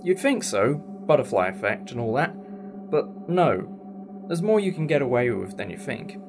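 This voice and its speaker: A man's voice: medium pitch, very animated, moderate pace. Breezy, teacherly time-traveller played by a man, over music. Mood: reassuring, wise. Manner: explanatory, musing, teacherly. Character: male time-travelling alien mentor, breezy, thoughtful and reassuring. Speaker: actor in fan audio drama. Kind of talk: audio drama acting, conversational explaining.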